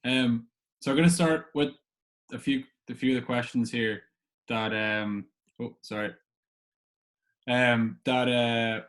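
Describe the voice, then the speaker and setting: A man's voice: mid-range voice, fairly even intonation, takes it slowly, long pauses, a bit bright. A man hosting a casual podcast interview, asking questions in an informal, hesitant way.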